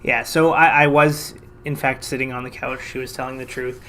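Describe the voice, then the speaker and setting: This man chats through a personal story to camera, lively and poking fun at himself. His voice sits at an ordinary pitch, and there is a faint hiss of noise behind him. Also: moderate pace. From Canada. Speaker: financial advisor and vlogger.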